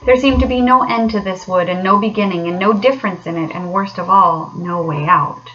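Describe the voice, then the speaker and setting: A woman, a teacher reading aloud, giving an expressive narration of a children's story. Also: mid-range voice, fairly quick, muffled recording, slight background noise.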